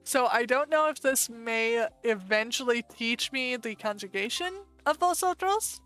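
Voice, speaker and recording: A woman with an American accent, casually chatting through a live stream and thinking aloud with quick asides. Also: on the high side, expressive, fairly bright tone, clean tone, soft background music. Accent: American English.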